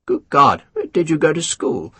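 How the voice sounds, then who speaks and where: Man playing a pompous colonel, husky, swooping pitch, snappish, condescending, audiobook. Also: sing-song, normal speed, fairly bright tone, no echo, heavily acted. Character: pompous scheming male British colonel. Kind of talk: audiobook character voice.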